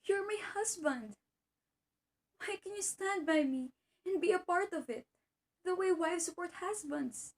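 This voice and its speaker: A high female voice from a woman acting plays a devoted teacher and wife who is hurting. The delivery is earnest and pleading, in the manner of an amateur one-act drama. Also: measured pace, long pauses. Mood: earnest, insistent.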